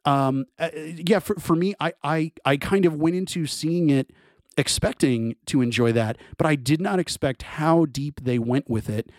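A man's voice: fairly high pitch, normal speed, no room sound. A man in casual conversation, talking enthusiastically in long rambling runs with many restarts. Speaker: podcast co-host. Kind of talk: casual film discussion.

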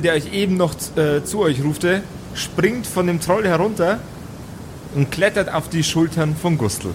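A man narrating an improvised tabletop audio play as game master, telling the scene in deliberate, descriptive phrases. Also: mid-range voice, noisy background.

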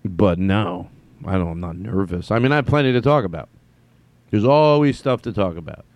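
Male solo podcast host, rambly, self-correcting, very animated pitch. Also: moderate pace, dry recording. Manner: rambling, self-correcting, jumps between thoughts. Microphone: headphones on, studio mic.

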